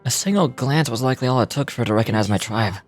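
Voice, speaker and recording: A man voicing a perceptive, doubting young tribesman in a video game, speaking in a grave, measured and earnest way. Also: medium pitch, soft background music, close to an everyday voice. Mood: grave, urgent. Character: perceptive, doubting young tribesman turned reluctant fugitive ally. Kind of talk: scripted video game dialogue.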